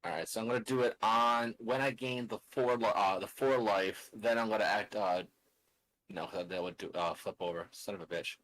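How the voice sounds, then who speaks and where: A man's voice: fairly low pitch, fairly even intonation, moderate pace, fairly bright tone, low-quality recording. A man casually narrating his own moves in a tabletop card game, muttering and counting aloud as he thinks. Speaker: card game player and streamer.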